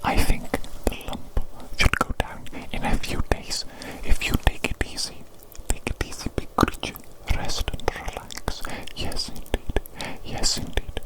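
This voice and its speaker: A performer voicing an evil doctor in a whispered ASMR medical roleplay, with breathy, formal, repetitive and deliberate phrasing. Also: lightly acted, poor audio. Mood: soothing, relieved. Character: evil doctor, doting on creature patient, gleefully vengeful.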